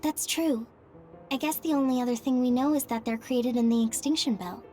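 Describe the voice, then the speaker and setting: Actress as young anime game soldier girl, high voice, determined, heartfelt, loyal. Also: fairly quick, a little mellow, faint music behind, natural voice. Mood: worried. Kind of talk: anime-style video game cutscene acting.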